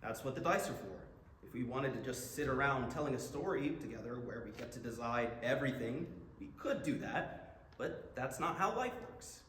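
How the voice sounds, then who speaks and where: A man's voice: medium pitch, lively intonation, normal speed, a bit bright, lightly acted. A young man playing an earnest, argumentative college student game master in a staged play reading. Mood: earnest, defensive. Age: young adult. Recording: echoey hall, long reverb, background noise.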